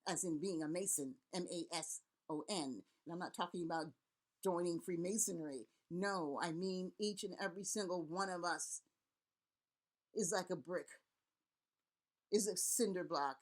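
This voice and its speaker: A woman's voice: fairly low pitch, unhurried. Earnest, rallying middle-aged woman from Haiti. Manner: earnest and rallying, with repeated words and lists. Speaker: Vodou priest and community elder. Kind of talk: impassioned spoken address to camera.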